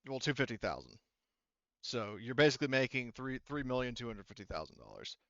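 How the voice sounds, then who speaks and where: A man narrates and rules on a tabletop roleplaying game as game master. His voice is smooth and mid-pitched, with a halting, explanatory delivery. The recording is close and dry. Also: sing-song, a bit bright, no room sound, dull audio.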